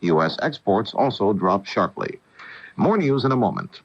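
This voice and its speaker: A man's voice: on the low side, big pitch swings, normal speed, vocal fry, breathy edge, no room sound. An American man anchoring a scripted network radio newscast. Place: dry radio studio. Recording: dull old AM radio recording.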